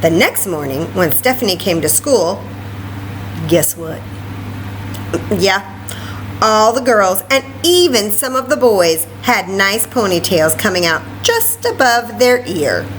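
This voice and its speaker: Animated woman reading to children, background noise. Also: mid-range voice, takes it slowly, poor audio. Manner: expressive, asks listeners questions. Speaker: library story time reader. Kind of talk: animated read-aloud with chatty asides to children.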